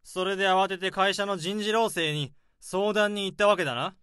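A man playing a young office worker who calmly unravels a crime in a radio drama. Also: high-pitched, clean tone, no room sound, studio quality. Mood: accusing. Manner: calm, probing, deliberate.

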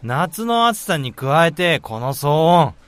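Blunt, grumbling office guy played by a man, slow-paced. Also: fairly high pitch, sing-song, no room sound. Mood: grumbling, exasperated. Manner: rough, casual, complaining speech. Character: blunt, grumbling male senior office colleague, work-shy. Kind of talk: radio drama acting.

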